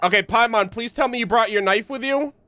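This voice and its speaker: A man's voice: extremely high pitch, a little fast, bright, forceful tone, no echo, clean studio sound. A young man streaming a game with casual, slangy commentary, joking and incredulous. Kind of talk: casual live gameplay commentary.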